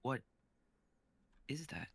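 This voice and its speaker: A man's voice: very slow, a bit bright, no room sound. A man reading the part of a defensive young husband who pleads with his wife, in a live-streamed script reading. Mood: pleading, defensive. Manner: pleading, flustered.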